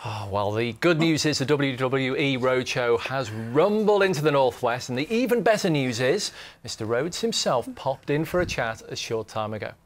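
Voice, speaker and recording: A man's voice: medium pitch, expressive, normal speed. Guy reading the local news, chatty and friendly with his questions. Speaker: regional news presenter. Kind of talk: news presenting and studio interview.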